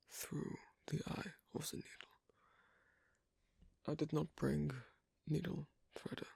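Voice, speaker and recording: An actor voicing an asocial, awkward but patient werewolf in an ASMR roleplay, speaking softly in halting, clipped phrases with a breathy, gravelly voice. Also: medium pitch, no echo, full character voice. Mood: patient, quiet. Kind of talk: ASMR roleplay, soft close speech.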